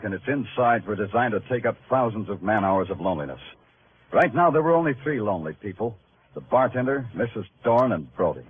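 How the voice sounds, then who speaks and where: An actor playing a wry, hard-boiled New York police detective in a vintage radio crime drama, with an American accent and clipped delivery. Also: male voice, on the low side, a little hiss or hum, close to an everyday voice. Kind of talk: 1950s radio crime drama acting, first-person narration. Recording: muffled vintage radio recording with music.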